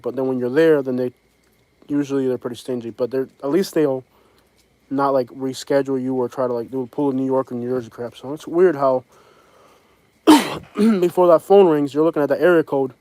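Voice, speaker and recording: A man's voice: mid-range voice, fairly even intonation, fairly quick, darkish tone, dry recording. A man with a Southern US accent chatting casually on a livestream, rambling and frank, with many fillers and restarts. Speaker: vlogger. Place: home in Alabama.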